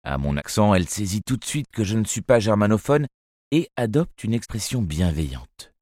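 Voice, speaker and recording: A man reading a children's novel aloud as first-person narrator, in a measured, expressive storytelling manner. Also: on the low side, no room sound, clean studio sound. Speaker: audiobook narrator.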